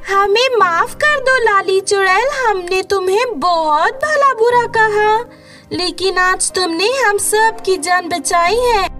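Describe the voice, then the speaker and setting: A woman's voice: very high-pitched, slow pace, loud music behind the voice, voice pushed up. A woman voicing an apologetic female witch in a cartoon story. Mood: remorseful.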